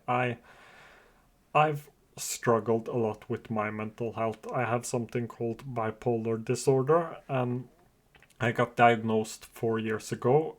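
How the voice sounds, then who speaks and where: A man with a Norwegian accent who speaks Norwegian and Farsi natively, hosting his own podcast in an earnest, open and slightly hesitant monologue. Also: mid-range voice. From Norway. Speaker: podcast host. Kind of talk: casual solo podcast monologue.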